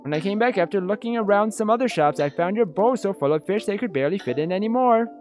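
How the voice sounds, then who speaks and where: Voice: mid-range voice, expressive, fast, a little mellow, soft background music, close to an everyday voice. A let's play host voicing a wistful young woman in a visual novel. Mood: wistful, casual.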